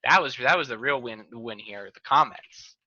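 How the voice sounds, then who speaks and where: A man hosting a casual talk show over a video call, wry and deadpan, with quick jokey asides. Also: medium pitch, dry recording. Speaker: host, lawyer. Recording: dull lo-fi call audio.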